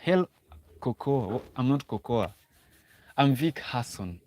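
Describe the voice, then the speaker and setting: A man's voice: medium pitch, animated, slow pace, dark tone. A young Kenyan man hosting a casual livestream, often repeating words and restarting sentences as he talks to viewers. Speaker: livestream host.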